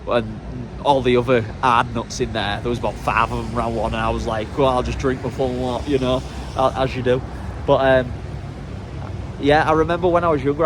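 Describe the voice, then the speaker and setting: A man's voice: medium pitch, background noise. A man talking casually to camera as a travel vlogger, chatty and rambling with self-mocking humor.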